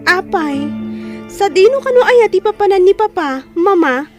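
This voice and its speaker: A woman's voice: very high-pitched, expressive, moderate pace, a little mellow, soft background music. A woman playing an inquisitive young child in an Ilocano radio drama. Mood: puzzled. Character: inquisitive young child asking about parents.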